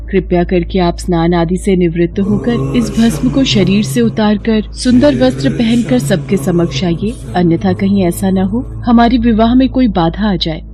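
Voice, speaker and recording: A woman voicing a gentle, respectful young goddess bride who speaks formally and earnestly in a devotional story. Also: medium pitch, natural voice. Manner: polite, pleading, formal Hindi address. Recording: prominent background music.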